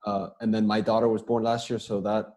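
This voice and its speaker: A young man talks over a video call in a low, mellow voice. He answers at a relaxed, rambling pace and doubles up his words as he thinks. He sounds like an athlete chatting easily about his career. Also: fairly quick. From United States. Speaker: guest soccer player.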